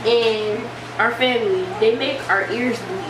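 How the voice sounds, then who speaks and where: A young woman chatting casually in an animated way, often acting out bits of conversation as she retells them. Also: on the high side, a little slow. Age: young adult. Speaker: vlogger. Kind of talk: casual chat. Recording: distant mic, echoey room, steady hiss.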